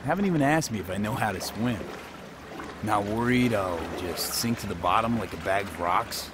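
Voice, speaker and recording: A cocky young male street mercenary played by an actor in a cinematic video game, with a lowish voice. He speaks in wry, clipped slang over clear ambient water noise. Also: moderate pace, lightly acted.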